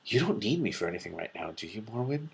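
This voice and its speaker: A man's voice: lowish voice, big pitch swings, quick pace, gravelly, breathy edge, heavily acted. A man reading the part of a sly, flirtatious female cat in a storybook, cool and unconcerned. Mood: breezy.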